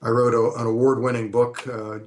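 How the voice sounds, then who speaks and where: A man in his fifties from California speaks with a flat, steady delivery that barely rises or falls. His pitch sits in the ordinary middle range. He answers interview questions thoughtfully, pausing often on drawn-out "uh"s as he gathers his words. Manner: measured, frequent hesitant "uh" pauses. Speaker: interview guest, retired army colonel and history professor.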